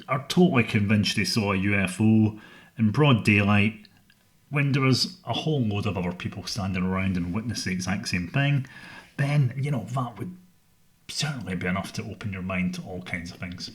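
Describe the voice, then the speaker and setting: A middle-aged Scottish man hosting a solo podcast, speaking in a measured, earnest way about his interests. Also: on the low side. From Scotland. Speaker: podcast host.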